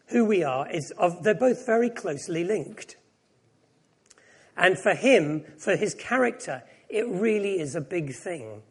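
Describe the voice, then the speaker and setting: Husky, high-voiced man preaching. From Japan. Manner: reflective, self-deprecating. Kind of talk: personal sermon.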